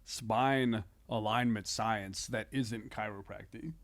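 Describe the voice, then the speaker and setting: A man hosting a casual comedic podcast chat, thinking aloud in an animated, halting way. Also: on the low side. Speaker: podcast host.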